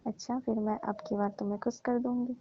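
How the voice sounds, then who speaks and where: Woman acting coaxing mellow-voiced auntie. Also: on the high side, little pitch movement, fairly quick, slight background noise, lightly acted. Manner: coaxing, persuasive. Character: coaxing, teasing middle-aged married auntie. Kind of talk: staged comic phone call. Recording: dull, dry lo-fi phone recording.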